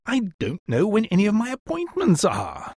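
A woman voicing an impatient, petulant, bossy gentleman professor in a dramatised storybook, exasperated and indignant. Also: mid-range voice, wildly swooping pitch, unhurried, husky, dry recording, studio quality, exaggerated voice. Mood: upset, impatient. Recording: music behind the voice.

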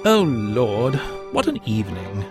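A man's voice: fairly low pitch, wildly swooping pitch, measured pace, over music. A man reading a story aloud and voicing an irritable professor who blusters with indignation. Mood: weary relieved.

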